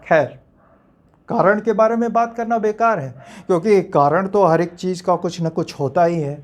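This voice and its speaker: A man acting a theatrical monologue as an enigmatic, uncertain man who addresses his audience in a reflective, rhetorical manner with deliberate pauses. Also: high voice, natural voice. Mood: brooding, questioning. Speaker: actor.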